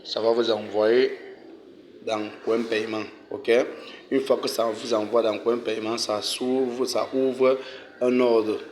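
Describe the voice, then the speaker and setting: A man hosting a casual tutorial, walking viewers through each step, often saying "ok" and "euh" and clearing his throat. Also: medium pitch, little pitch movement, slow, a little mellow, a little hiss or hum. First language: French. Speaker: channel host giving a tutorial. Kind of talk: casual screen-recorded walkthrough.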